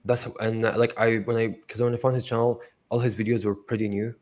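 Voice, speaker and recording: A man's voice: medium pitch, flat delivery, fast, darkish tone. A young man from Iraq, talking casually as an interview guest, rambling with lots of fillers and swearing freely. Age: young adult.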